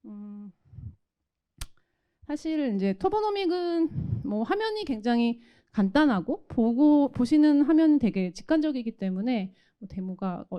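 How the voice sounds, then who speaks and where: A woman's voice: mid-range voice, highs cut off. A woman giving a conversational, explanatory tech product presentation and demo. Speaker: tech product presenter from a company's automation division.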